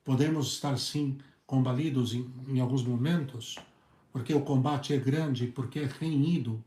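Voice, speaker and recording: A hoarse male priest with a Brazilian Portuguese accent, preaching earnestly in halting phrases and often repeating his words. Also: medium pitch, warm tone. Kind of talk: preached talk on a video channel. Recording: echoey room.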